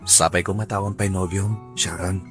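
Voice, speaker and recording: A man's voice: low-pitched, fairly even intonation, moderate pace, lightly acted. A man acting an earnest, lovestruck young man in a radio drama, with an Ilocano accent and a tender, pleading manner. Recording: radio drama with music underneath.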